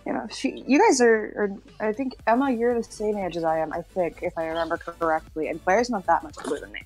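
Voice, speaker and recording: A woman in her twenties with a middle-pitched voice, chatting casually and sounding amused at her friends. Faint music plays behind her on the call. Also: brisk, darkish tone, poor audio. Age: 20s. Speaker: guest on a stream call. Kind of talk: casual chat.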